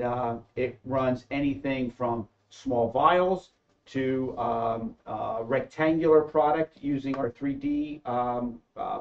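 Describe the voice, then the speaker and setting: A man's voice: mid-range voice, lo-fi sound. Hesitant middle-aged man explaining machinery. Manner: hesitant, many fillers. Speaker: company engineer, co-inventor. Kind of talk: casual panel discussion. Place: studio set with backdrop.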